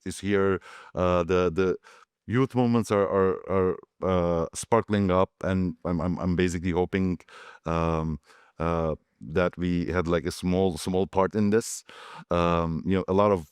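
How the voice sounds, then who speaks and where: Turkish guy on a panel, super deep, keeps restarting, lots of uh and like. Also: fairly even intonation, clean tone, no echo, clean studio sound. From Turkey. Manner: halting, many fillers and restarts. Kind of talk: panel discussion answer.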